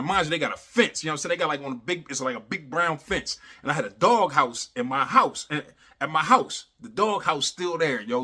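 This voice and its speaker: A man casually telling a funny personal story in animated, slangy talk, repeating phrases for emphasis and constantly checking in with the listener. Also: on the high side, slightly rough. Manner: animated, slangy, repeats phrases for emphasis, often adds "you know what I'm saying". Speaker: storyteller, host of a story series.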